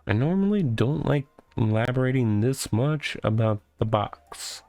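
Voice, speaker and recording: A man voicing a rambling, oddly proud corporate mascot stuck in a cardboard box, halting and earnest, in a comedic visual novel read-aloud. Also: mid-range voice, lively intonation, creaky, a little mellow, dry recording. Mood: confiding, proud. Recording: music behind the voice.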